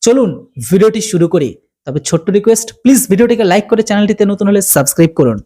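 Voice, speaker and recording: Indian Bengali commentary host, brisk delivery, mid-pitched voice for a news channel. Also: animated, mellow.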